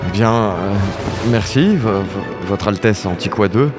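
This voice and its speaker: A man's voice: fairly low pitch, animated, measured pace, big-room sound, music in the background. A man playing a determined, earnest insect war veteran in an audio drama. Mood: nervous, deferential.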